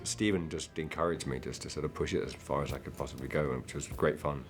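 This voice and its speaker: An actor speaks about his role in a very deep voice, relaxed and understated. Music plays clearly underneath the interview. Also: fast. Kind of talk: documentary interview.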